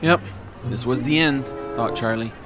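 A man's voice: medium pitch, moderate pace, music in the background. A man narrating a scripted comedy audio drama in a wry, dramatic storytelling manner.

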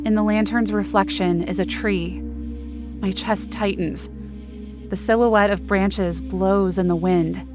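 A woman's voice: medium pitch, close to an everyday voice. A young woman playing an anxious but determined narrator in a supernatural audio drama, earnest and descriptive. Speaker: narrator and lead character.

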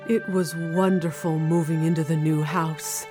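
A woman's voice: deep voice, flat delivery, music in the background. An actress playing a reflective, lonely woman narrating memories of her childhood in an audio drama. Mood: wistful. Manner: wistful, deliberate. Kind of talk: scripted first-person dramatic narration.

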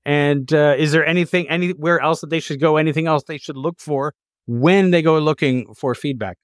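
A man hosting a conversational podcast interview, halting as he searches for words. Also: on the high side, steady tone, no echo, studio quality.

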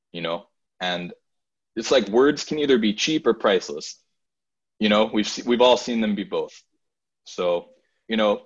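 Man, low husky voice, rhythmic freestyle flow, earnest, dull lo-fi sound. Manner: rhythmic rhyming flow, then thoughtful and earnest. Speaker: guest artist. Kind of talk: freestyle rap then reflective talk.

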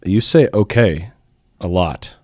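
A man's voice: low voice, slow, a little mellow, husky, dry recording, clean studio sound. A young priest co-hosting a podcast, chatting casually and joking at his own expense. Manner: joking, laughs often, self-deprecating. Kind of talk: casual chat.

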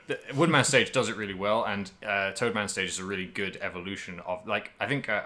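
Deep, bright-voiced man chatting about games. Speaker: podcast co-host. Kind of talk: casual video game discussion.

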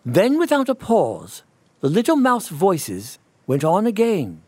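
A man reading a children's storybook as a scripted narration, in an expressive, measured storytelling manner. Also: high voice, no echo.

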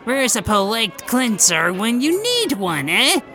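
A brash cartoon armadillo showman played by a woman works the mic as emcee. The voice is fairly high and very animated, announcing with swagger over music in an audio story. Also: measured pace, some chatter behind, full character voice. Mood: hyping crowd. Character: brash male metal armadillo club emcee, foul-mouthed showman. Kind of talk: comedic audio drama acting.

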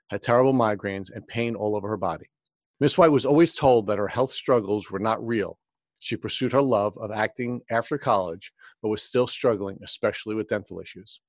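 A man's voice: lowish voice, no room sound. A man hosting an interview program, reading a scripted introduction and putting questions to a guest. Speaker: interview host.

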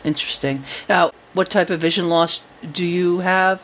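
A woman's voice: lowish voice, steady tone, moderate pace, fairly bright tone, slight background noise, low-quality recording. A woman hosting a conversational interview, asking questions in a casual, informal way. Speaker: host, interviewer.

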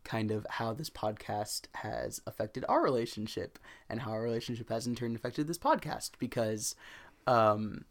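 A young man with a loud voice co-hosting a podcast, chatting casually, rambling with fillers and laughing often. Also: medium pitch, normal speed, vocal fry. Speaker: podcast co-host. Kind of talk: casual chat.

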